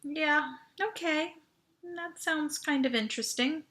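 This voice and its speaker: A woman voicing a nervous, excitable teenage girl in a sci-fi storybook, small-voiced and easily amazed. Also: high voice, slow, voice pushed up. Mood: hesitant. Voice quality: small, breathy, emotional voice. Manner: exclaiming and questioning, easily amazed.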